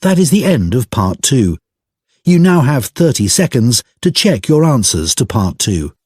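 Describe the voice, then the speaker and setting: A man announces test instructions in a formal, measured way. His mid-pitched voice has a husky grain and varied intonation, recorded dry. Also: sing-song, darkish tone, no room sound. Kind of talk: scripted exam instructions.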